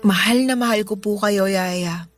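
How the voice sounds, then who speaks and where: A woman's voice: medium pitch, unhurried, faint music behind, lightly acted. A woman playing a sensitive, grateful young woman in a Filipino radio drama, respectful and emotional. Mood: heartfelt. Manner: soft-hearted, respectful, emotional.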